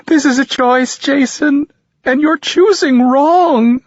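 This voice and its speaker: A man's voice: measured pace, vocal fry, no echo, heavily acted. A man voicing a tearful pleading mother. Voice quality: choked, teary high voice. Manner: pleading, insistent. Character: tearful pleading middle-aged mother on the phone. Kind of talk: audiobook character voice. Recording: dull lo-fi audio.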